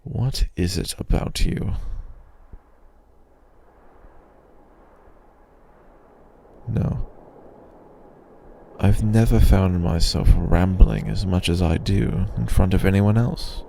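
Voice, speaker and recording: A man playing an arrogant, possessive male blue dragon in a slow roleplay ASMR monologue, with a gravelly, breathy growl and a haughty, rambling manner. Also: low voice, fairly even intonation, dark tone, a little hiss or hum, full character voice. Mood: puzzled. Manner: haughty, rambling, with pauses and sighs.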